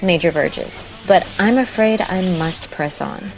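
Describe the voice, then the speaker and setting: Headstrong young woman, pretty low voice, brisk n sure of herself, bit of music behind. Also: poor audio. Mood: self-assured. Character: confident, ornery, determined young woman. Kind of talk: audio drama acting.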